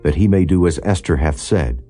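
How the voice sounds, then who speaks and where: A man's voice: low-pitched, brisk, dark tone, soft background music. A man voicing an eager, generous king in a scripture audiobook, with regal, formal, questioning delivery. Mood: regal, inquiring. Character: eager, generous king addressing his queen and court.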